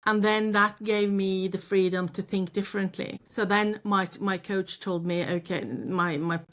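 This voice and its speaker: A woman's voice: medium pitch, measured pace. A Norwegian woman with a Norwegian accent, a knitwear designer being interviewed, telling her story with many restarts and self-corrections. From Norway. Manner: frequent restarts and self-corrections, animated when quoting.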